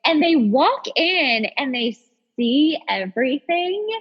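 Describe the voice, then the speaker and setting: A woman in her thirties with a husky voice, chatting casually and telling stories in an animated, self-deprecating way as a podcast host. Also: high-pitched, takes it slowly. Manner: animated, self-deprecating storyteller who acts out quotes. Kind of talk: casual chat and storytelling. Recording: dull audio with long reverb.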